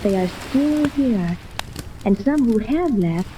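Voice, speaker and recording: An old radio recording with faint noise holds a sheltered young island woman. Her voice is very soft-edged and mellow, pitched fairly high, and she speaks with formal, wistful gravity in 1930s serial style. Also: expressive, takes it slowly, rough recording. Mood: grave warning.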